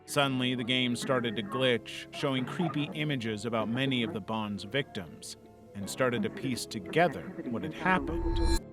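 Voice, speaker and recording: A man's voice: medium pitch, moderate pace. A man reading a scripted narration in the role of a video essay narrator. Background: background music.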